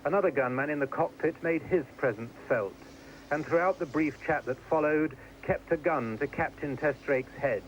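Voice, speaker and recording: A man's voice: on the high side, dull audio. A man narrating a scripted television news report as a foreign correspondent. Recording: clearly noisy 1980s news report.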